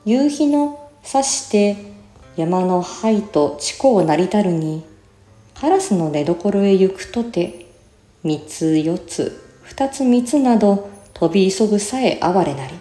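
A woman reciting a classical literary essay in a measured manner, with careful, clear enunciation. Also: medium pitch, lively intonation, dark tone. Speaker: reciter and voice actor. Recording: roomy reverb with faint music behind. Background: faint music.

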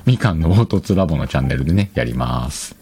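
A man's voice: rumbling low, lively intonation, fast, creaky, warm tone. A man hosting a solo podcast in a casual chat, playfully talking to himself and correcting himself as he goes. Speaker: podcast host. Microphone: external microphone, recorded on a computer.

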